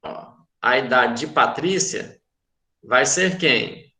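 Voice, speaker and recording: Brazilian guy teaching math, bright voice, patient, keeps asking if u got it, echoey room. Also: medium pitch, animated, slow. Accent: Brazilian Portuguese. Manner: patient, repeats and checks understanding. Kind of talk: online class explanation.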